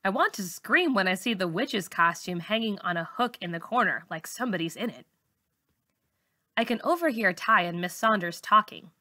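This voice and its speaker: A woman's voice: medium pitch, animated, moderate pace, bright, forceful tone. A woman teacher reading a first-person children's novel aloud for students, as narration.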